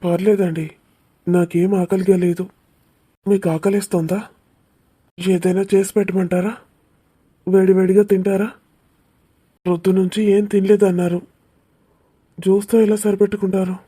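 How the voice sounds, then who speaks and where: Woman voicing a husky, gentle young man. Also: mid-range voice, level tone, moderate pace, pauses often, natural voice. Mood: caring, reassuring. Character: caring, lovestruck young man, gentle and polite. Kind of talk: cartoon romance dialogue.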